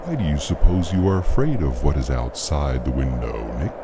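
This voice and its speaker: A man acting in an audio play as a calm, patient questioner who speaks formally, like a therapist. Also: very deep voice, normal speed, creaky, very noisy, exaggerated voice. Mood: calm, probing.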